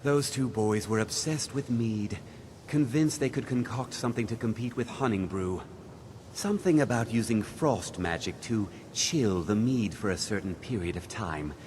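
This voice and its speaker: An actor playing a stuffy older wizard in a video game, measured, dry and mildly disdainful. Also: male voice, lowish voice, a little mellow, a little hiss or hum, close to an everyday voice. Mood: recollecting, disapproving.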